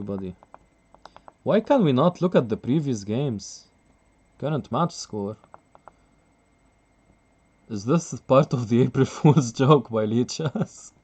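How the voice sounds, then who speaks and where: A man's voice: medium pitch, a little mellow, husky. A man streaming live chess commentary in a casual way, chuckling often and answering his chat.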